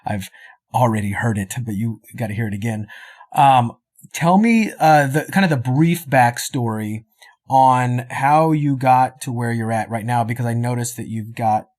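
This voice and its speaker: A man's voice: medium pitch, normal speed, darkish tone. A man hosting a podcast interview, talking in a chatty, rambling way with many fillers. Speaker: podcast host. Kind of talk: casual interview chat.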